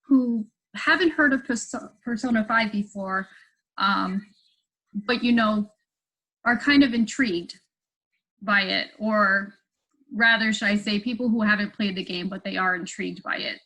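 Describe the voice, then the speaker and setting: A woman with an average-pitched voice speaks on a video call. She puts her question informally, feeling her way through it in halting phrases. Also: pushed and bright, rough recording. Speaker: audience member asking a question.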